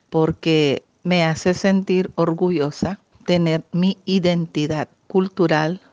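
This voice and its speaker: A Miskito-speaking woman from Nicaragua, answering interview questions in an earnest, deliberate way. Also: low voice, darkish tone, no room sound. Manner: earnest, deliberate, frequent "¿verdad?" tag. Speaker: guest. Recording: muffled lo-fi audio.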